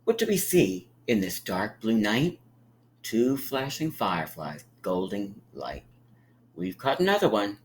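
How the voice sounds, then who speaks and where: A man reading a picture book aloud to young children like a teacher, with emphatic pauses and encouraging, friendly asides. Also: fairly low pitch, sing-song, a little hiss or hum. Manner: encouraging, inviting listeners to count along; drawn-out, emphatic storytelling delivery with dramatic pauses.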